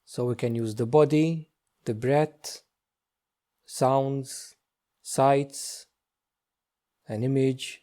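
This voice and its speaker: A man leading a guided meditation as a teacher, speaking gently and slowly with long pauses. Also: medium pitch, fairly even intonation. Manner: slow, hushed, gentle delivery with long pauses.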